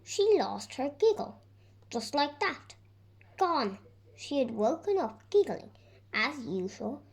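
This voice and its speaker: A woman reading a children's story aloud in an expressive, deliberate storytelling manner. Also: high-pitched, slightly rough. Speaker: storybook reader. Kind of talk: scripted narration of a children's story.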